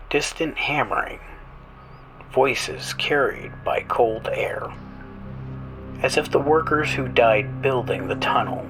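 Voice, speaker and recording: A man hosting a horror story show, reading scripted tales in a slow, theatrical voice that often drops into hushed whispers. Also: medium pitch, rough recording. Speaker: horror show host and narrator.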